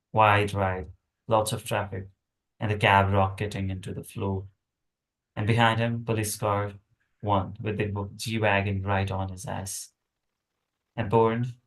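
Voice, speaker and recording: A man's voice: lowish voice, low-quality recording. A man reading screenplay action aloud as narration, in terse, fragmented phrases. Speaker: table read participant.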